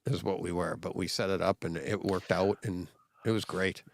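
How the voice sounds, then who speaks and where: A man hosting a podcast, rambling in an animated way and laughing easily. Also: low-pitched, normal speed, vocal fry, no room sound. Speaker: podcast host. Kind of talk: casual chat.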